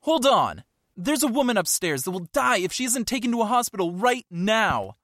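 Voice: medium pitch, sing-song, moderate pace, a bit bright, slight background noise. A performer playing an injured, nervous hotel worker in an audio drama, hesitant and stammering. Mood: pleading.

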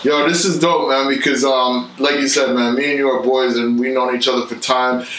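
A man's voice: mid-range voice, steady tone, moderate pace, fairly bright tone, a little hiss or hum. Laid-back man in a roomy studio. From born in Toronto, raised in New York. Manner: laid-back, heavy slang and fillers. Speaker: guest DJ. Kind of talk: casual podcast chat.

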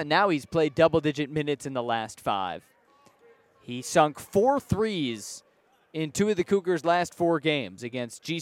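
A man's voice: a little high, no echo. An American man doing live radio basketball play-by-play with vivid, folksy, descriptive phrasing. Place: courtside in a sports arena.

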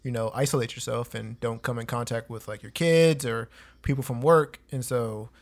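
A relaxed man chatting on a podcast, handing out easygoing advice. His talk is loose and friendly, full of filler and slang. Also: mid-range voice, moderate pace, vocal fry. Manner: laid-back, gives advice, says "man" and "dude" a lot. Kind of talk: casual podcast chat.

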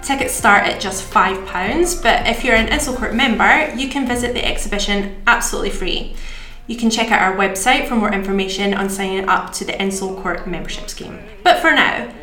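A woman's voice: mid-range voice, fairly quick, sharp tone. A woman hosting a podcast, giving a partly scripted introduction in a conversational way. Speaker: podcast host and marketing coordinator. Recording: roomy echo, faint music.

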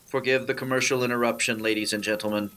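A man's voice: mid-range voice, level tone, quick pace, bright, forceful tone, natural voice, low-quality recording. A man playing an earnest, grave news anchor in an old-time radio drama. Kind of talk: old radio newscast acting.

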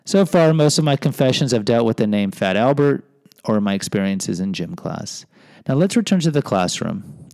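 A man's voice: mid-range voice, lively intonation, moderate pace, creaky, darkish tone, no room sound. A middle-aged American man hosting a podcast, reading a personal memoir with rambling, self-deprecating asides. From United States. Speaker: podcast host and teacher.

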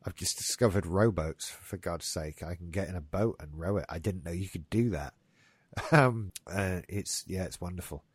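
A man's voice: low voice, normal speed, breathy edge, no room sound. A man hosting a casual gaming news podcast, rambling and swearing lightly, a bit stuffed up. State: getting a stuffy nose. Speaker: podcast host. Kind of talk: casual chat.

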